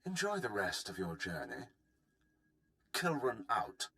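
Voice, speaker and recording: Imperial game officer: bright, authoritative, lowish, strongly inflected. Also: male voice, wildly swooping pitch, takes it slowly, heavily acted. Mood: proud formality. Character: self-assured imperial military governor, formal and proud. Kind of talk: video game voice acting.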